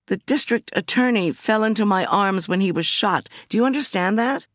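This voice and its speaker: A woman reader plays a shaken but stubborn female prosecutor in a thriller audiobook. She sounds insistent and curt, pitched up with big swings in intonation, close and dry. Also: lively intonation, moderate pace, dry recording, lightly acted. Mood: indignant, insistent. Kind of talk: audiobook character dialogue.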